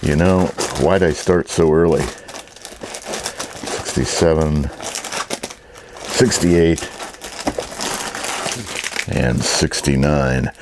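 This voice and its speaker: A man's voice: very deep voice, steady tone, very slow, long pauses, background noise. A man giving a casual, rambling and easygoing vlog talk as an online reseller.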